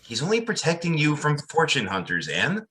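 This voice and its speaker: An earnest young pastor played by a man with a highish, bright voice and hugely varied intonation. He is deferential and hesitant, in the emphatic manner of old-time radio drama. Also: wildly swooping pitch, moderate pace. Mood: solemn, gentle.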